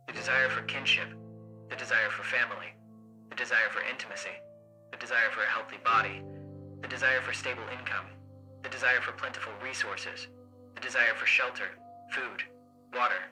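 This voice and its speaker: A performer voicing a solemn, clinical textbook passage in a visual novel, as a formal, measured recitation. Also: mid-range voice, sharp tone, poor audio.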